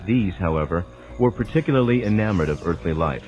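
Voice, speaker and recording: A low-pitched man narrates in a measured, formal way, like a lecture read from a script. The recording is slightly dull and lo-fi. Faint music plays underneath his voice. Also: animated, darkish tone. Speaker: narrator reading a spiritual text. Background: background music.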